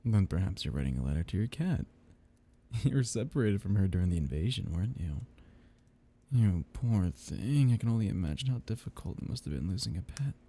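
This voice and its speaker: A man playing a seductive, teasing, menacing vampire noble in an intimate ASMR roleplay, in a breathy, gravelly murmur. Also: very animated, heavily acted. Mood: teasing, mocking. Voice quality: husky, breathy, low murmur with gravelly edges. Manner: slow, teasing drawl with soft whispered dips.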